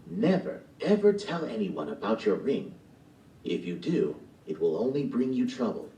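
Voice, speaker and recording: A man voicing a gentle, mysterious old woman who gives solemn advice in a storybook play, recorded in a roomy space. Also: on the low side, sing-song, normal speed. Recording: roomy, from far off the mic.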